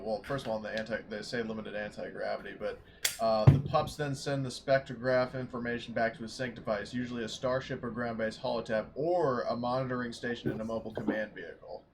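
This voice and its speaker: A man's voice: medium pitch, steady tone, quick pace, a little hiss or hum. A man chatting casually as a player in a tabletop roleplaying game, with a wry, sarcastic manner.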